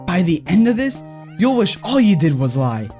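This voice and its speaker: Woman playing Fred, an animated, scheming fixer. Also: lowish voice, moderate pace, soft background music. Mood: menacing. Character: scheming, commanding, sinister fixer named Fred. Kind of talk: audio horror-comedy acting.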